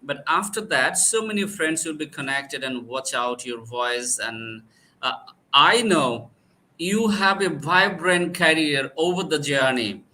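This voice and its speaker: A man hosting an online interview, speaking haltingly with many fillers and restarts. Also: a little high, expressive, pushed and bright, rough recording. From Bangladesh. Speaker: host.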